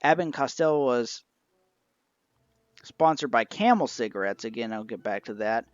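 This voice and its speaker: A man's voice: mid-range voice, animated, moderate pace, no room sound. Man reviewing collectibles, dull lo-fi sound. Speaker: reviewer of an old radio show collection. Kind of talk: informal review narration. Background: music.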